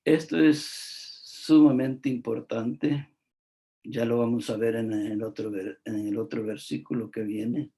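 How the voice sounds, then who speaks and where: A man from El Salvador, preaching a devotional sermon in a slow, emphatic, repetitive way, with frequent filler words. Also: medium pitch, fairly even intonation, dark tone.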